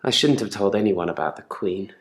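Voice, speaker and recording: An actor playing a controlled, quietly menacing therapy patient in a game drama, speaking in a measured, deliberate and politely probing way. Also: male voice, lowish voice, lively intonation, gravelly, reverberant. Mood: calm, reflective. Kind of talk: live-action game drama monologue.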